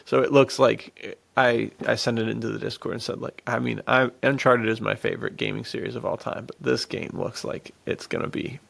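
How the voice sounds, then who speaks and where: A man's voice: mid-range voice, vocal fry, fairly bright tone. A man co-hosting a podcast in casual, enthusiastic chat, with slangy talk peppered with "dude". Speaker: podcast co-host.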